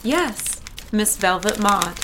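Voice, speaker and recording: A woman's voice: medium pitch, animated, slow pace. A woman playing a prim, guarded young office secretary in a period audio drama, formal and clipped in her politeness. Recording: loud typewriter clatter and rustling noise. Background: typewriter, clicks.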